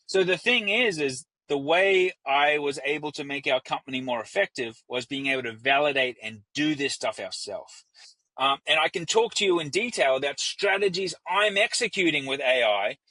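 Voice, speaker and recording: A man's voice: on the high side, lively intonation, moderate pace, bright, forceful tone, no room sound, poor audio. An Australian man with an Australian accent, a business founder speaking as a guest in a casual podcast interview, talking with energy and acting out the voices he quotes.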